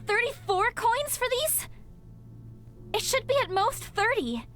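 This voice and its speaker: A woman's voice: very high-pitched, animated, a little slow, a bit bright, full character voice. A woman voicing an earnest, fair-minded young female mage-knight in a fantasy visual novel game. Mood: puzzled, protesting. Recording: music behind the voice.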